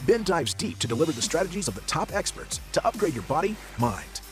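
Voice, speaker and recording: A male announcer with a lively, bouncing delivery reads a punchy, scripted show intro. Faint music plays underneath. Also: on the high side, a little fast.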